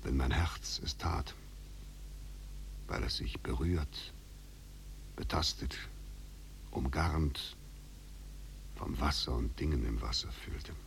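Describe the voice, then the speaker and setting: A male actor playing a self-possessed escaped prisoner, measured and ironic, in a 1950s German radio drama. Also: rumbling low, gravelly, whispered, heavily acted. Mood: brooding. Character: escaped prisoner, self-possessed, coolly demanding, philosophical man. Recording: noisy 1950s radio recording.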